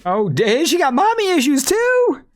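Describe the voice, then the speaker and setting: A woman's voice: high voice, huge pitch swings, measured pace, faint music behind. A woman game streamer reacting casually to gameplay with slangy, joking commentary.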